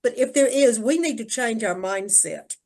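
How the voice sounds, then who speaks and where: Older woman, higher-pitched voice, talking casually. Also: animated, moderate pace, a little mellow. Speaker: church discussion participant. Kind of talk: casual group discussion.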